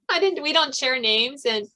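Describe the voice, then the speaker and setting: A woman's voice: high-pitched, animated, slow pace, bright, forceful tone. A middle-aged woman hosting a podcast, talking casually and conversationally with guests. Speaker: podcast host.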